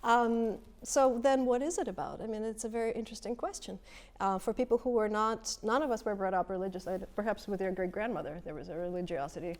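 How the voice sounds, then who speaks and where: A woman's voice: mid-range voice, fast talker, darkish tone. A woman with a Russian accent and a first language of Russian, speaking on a panel as a poet. She sounds nervous and earnest, thinking aloud with many fillers. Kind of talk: panel discussion.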